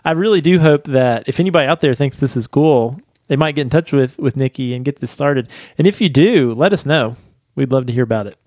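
A man's voice: medium pitch, expressive, fairly quick, a little mellow, no echo, studio quality. A man co-hosting a casual science podcast, talking in an easygoing way and chuckling often. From grew up fishing rivers. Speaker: podcast co-host. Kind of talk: casual chat.

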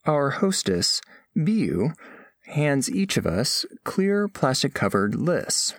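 A formal, curious alien scholar, acted by a man, narrates a story in precise, clinical words. The voice is fairly high and moves animatedly in pitch. The recording is dry and close. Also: sing-song, slow, no room sound. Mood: formal curiosity. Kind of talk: scripted first-person story narration.

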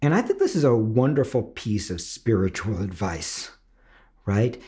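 Animated, emphatic man giving a motivational talk. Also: fairly low pitch, unhurried. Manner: emphatic, with repetition and rhetorical check-ins. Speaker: screenwriting teacher and podcast host.

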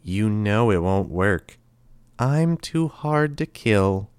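A man voicing a cruel, calm immortal boy who talks softly and slowly with flat, cheerful menace. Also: on the low side, clean tone, no room sound, pitched above the performer's own voice. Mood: coldly menacing. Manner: soft, slow, menacing and flatly cheerful. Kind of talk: audiobook character voice.

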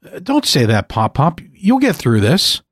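A man voicing a gentle, worried grandson talking with his ailing grandfather. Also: on the high side, huge pitch swings, measured pace, husky, no room sound, clean studio sound, voice pushed up, full character voice. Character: worried grandson at a hospital bed.

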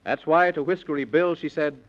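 An actor playing an excitable storyteller who tells a children's bedtime tale in theatrical singsong rhyme on 1930s radio. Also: male voice, on the high side, brisk, close to an everyday voice. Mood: excitable storytelling. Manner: theatrical, singsong rhyming delivery. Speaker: rhyming narrator. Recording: muffled old 1930s radio, music behind.

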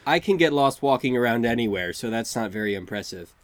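A man co-hosting a podcast, joking dryly, his voice low from a recent illness. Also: lively intonation, quick pace, no room sound. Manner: dry joking banter. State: recovering from illness, lower voice. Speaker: podcast co-host. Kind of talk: casual podcast chat.

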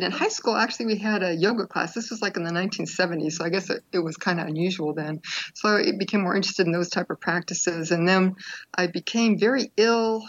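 An older woman who is a podcast guest, telling her own story in a reflective, unhurried way. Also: medium pitch, lo-fi sound. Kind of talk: interview, personal storytelling.

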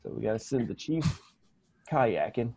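An American man co-hosting a podcast in casual chat, halting with many restarts and fillers. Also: medium pitch, very animated, dark tone, husky, no room sound. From United States. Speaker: podcast co-host.

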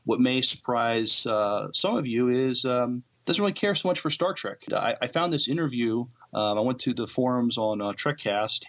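A man co-hosting a podcast, chatting casually in a halting, hesitant way and often repeating words. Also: medium pitch, fairly bright tone. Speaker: podcast co-host. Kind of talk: casual chat.

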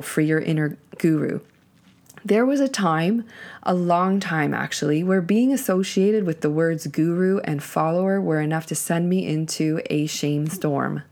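A woman hosting a podcast solo, speaking earnestly and deliberately in a reflective monologue, her voice lowered by a cold. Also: darkish tone. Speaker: podcast host.

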